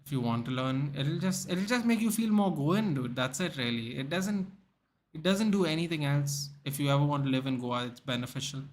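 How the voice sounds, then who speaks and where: A man's voice: fairly high pitch, lively intonation, a little fast, slight background noise. A young man with an Indian English accent from Goa, hosting a casual livestream and chatting with viewers by name, full of restarts and "dude" and "man". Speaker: livestream host. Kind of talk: casual livestream chat reading out viewer comments.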